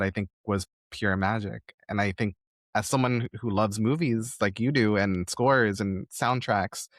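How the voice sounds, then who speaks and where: A man's voice: lowish voice, no echo, clean studio sound. A man hosting a conversational podcast interview, speaking in an earnest, effusive and reflective way. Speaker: podcast host.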